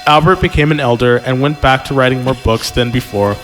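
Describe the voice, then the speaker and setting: A man's voice: mid-range voice, fairly quick, fairly bright tone, faint music behind. A man reading a story aloud informally as a podcast host, stumbling over lines and tossing in joking asides.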